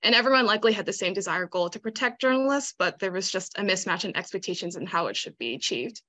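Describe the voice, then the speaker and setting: A young woman giving a measured research presentation on a video call. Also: mid-range voice, animated, bright, forceful tone. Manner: measured, explanatory. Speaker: student presenter. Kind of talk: conference presentation.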